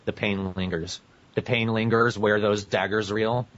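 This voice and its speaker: A man's voice: on the low side, fairly even intonation, measured pace, a bit bright. A man co-hosting a casual podcast, jokingly reading aloud with mock drama and prone to breaking into laughter. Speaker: podcast co-host. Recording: muffled lo-fi podcast audio.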